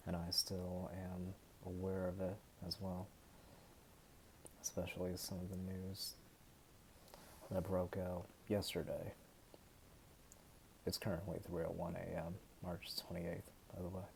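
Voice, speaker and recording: A young man in his twenties from the United States, talking hesitantly and softly to the camera at home late at night. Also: low voice, completely flat delivery, vocal fry, slight background noise, poor audio. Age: 20s. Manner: hesitant, halting and soft-spoken. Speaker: vlogger. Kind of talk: personal talk to camera.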